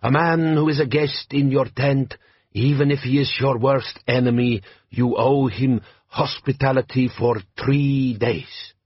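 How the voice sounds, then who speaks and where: A man voicing a hearty, shrewd desert bandit chief who speaks slow, broken English. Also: mid-range voice, fairly even intonation, husky, no echo, muffled recording, voice pushed up.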